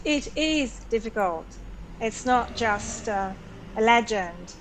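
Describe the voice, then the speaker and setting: A woman's voice: on the high side, lively intonation, slow pace, slight background noise, rough recording. A woman in her forties from Italy with an Italian accent, her native tongue Italian, answering interview questions in a friendly, plainspoken way. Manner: friendly, plainspoken, laughs lightly. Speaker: interview guest. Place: home in Germany on a video call.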